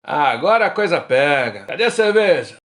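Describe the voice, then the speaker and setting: A man plays a middle-aged Brazilian drinker out with old friends. His voice is somewhat high for a man and moves up and down a lot. He is frank and colloquial, in radio drama style. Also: big pitch swings, normal speed, a bit bright. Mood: teasing, tipsy. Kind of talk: radio drama acting, casual bar chat.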